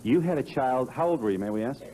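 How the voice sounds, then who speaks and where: A man's voice: medium pitch, animated, fast, dark tone, slight background noise, poor audio. A man interviewing a guest on a broadcast talk show, steering with leading questions.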